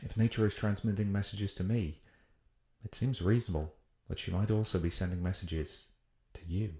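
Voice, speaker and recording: A British man playing an earnest naturalist who muses formally and deliberately in a scripted audio drama field report. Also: deep voice, fairly even intonation, warm tone. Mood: musing, earnest. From United Kingdom. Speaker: voice actor. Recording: roomy, echoing voice recording.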